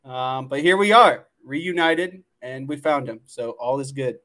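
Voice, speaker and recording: A man's voice: medium pitch, a little slow, sharp tone. A man co-hosting a sports podcast in casual, conversational chat. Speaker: podcast co-host.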